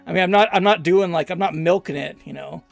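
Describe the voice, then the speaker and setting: A man's voice: on the high side, fast, gravelly, dry recording, faint music behind. A Canadian man from Alberta commentating a live game stream and chatting with viewers in a loose, rambling, self-deprecating way. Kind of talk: live gameplay commentary while reading chat.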